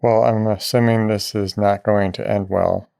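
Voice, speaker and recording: A man's voice: on the low side, flat delivery, normal speed, vocal fry, a little mellow, clear, resonant tone. A man co-hosting a casual podcast, reacting with dry, teasing remarks. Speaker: podcast co-host.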